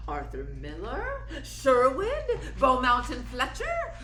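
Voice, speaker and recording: A woman playing a proud, temperamental ageing stage actress in a radio play, sharp-tongued and theatrically sarcastic. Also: high-pitched, wildly swooping pitch, slow pace, exaggerated voice. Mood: biting sarcasm. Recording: roomy, echoey sound.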